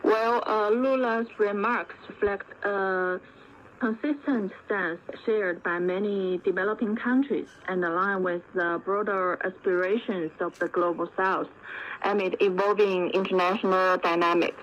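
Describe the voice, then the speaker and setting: Woman, expert interview guest, measured formal delivery, orderly points, dull shortwave sound, light background noise. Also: mid-range voice, fairly even intonation.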